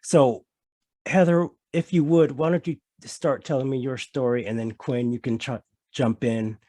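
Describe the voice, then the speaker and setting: A man hosts a podcast interview with easy enthusiasm, cheering his guests on. He restarts and repeats himself as he talks. His middle-pitched voice comes through dry and close, with no room sound. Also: fairly even intonation, unhurried, dark tone, no room sound. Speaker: podcast host. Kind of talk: casual interview hosting.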